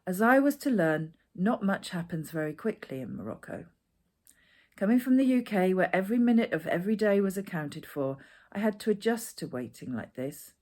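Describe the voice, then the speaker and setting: Woman, slightly low pitch, scripted first-person essay narration, author's own reading. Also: darkish tone. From Edinburgh, Scotland.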